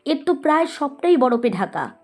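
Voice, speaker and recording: A woman's voice: high voice, very animated, normal speed, faint music behind. A woman playing a curious, sceptical young history student in an audio story, questioning and wide-eyed. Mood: wide-eyed, worried. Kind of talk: dramatised audio story acting.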